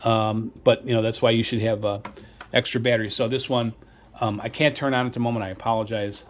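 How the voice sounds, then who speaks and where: A man's voice: fairly low pitch, steady tone, fairly quick, a little hiss or hum. A man giving a casual product demonstration as a reviewer, in a hesitant, rambling way, often repeating himself. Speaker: radio reviewer presenting gear.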